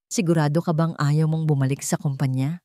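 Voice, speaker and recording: A woman voicing a humble, remorseful young man with an earnest, soft-spoken manner in an audio romance drama. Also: sits low, steady tone, a little fast, no room sound, clean studio sound, lightly acted. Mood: gentle concern.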